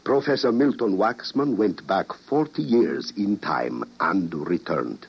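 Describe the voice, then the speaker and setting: An actor playing a grave, persuasive president with a solemn, deliberate delivery in an old radio drama. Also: male voice, low voice, lively intonation, breathy edge, full character voice. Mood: grimly persuasive. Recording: muffled vintage radio drama recording.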